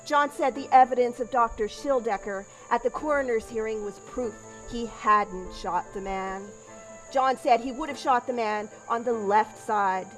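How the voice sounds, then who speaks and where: A woman playing a doctor's wife of the 1910s, giving a deliberate eyewitness account as a scripted historical monologue. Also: on the high side, a bit bright, poor audio. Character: doctor's wife recounting witnessing a robbers' chase in 1910s.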